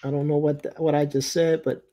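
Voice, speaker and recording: A man's voice: on the high side, level tone, a little fast, mellow. A man with a Puerto Rican accent hosting a casual chat, easygoing and reading chat comments aloud. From Puerto Rico. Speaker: podcast host.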